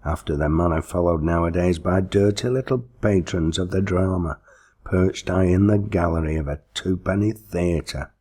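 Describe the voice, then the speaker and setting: A man reading a story aloud as expressive, theatrical, deliberate scripted narration. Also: sits low. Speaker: audiobook reader.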